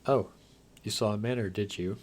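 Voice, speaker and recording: A man's voice: lowish voice, normal speed, darkish tone, slightly rough. A man playing a lonely, guarded undead vampire, speaking straight to the listener in a wry, theatrical ASMR roleplay.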